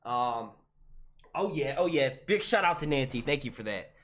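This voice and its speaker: Loud, lively young man hosting a stream. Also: mid-range voice, moderate pace. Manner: loud projecting voice, chatty and profane. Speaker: YouTube live stream host. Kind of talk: casual live stream chat. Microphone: desk mic.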